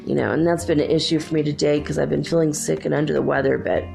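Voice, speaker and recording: A woman's voice: low voice, steady tone, quick pace, creaky, a little mellow, faint music behind. A woman hosting a solo podcast, talking in a rambling, chatty way while congested. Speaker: podcast host. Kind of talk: casual solo podcast chat.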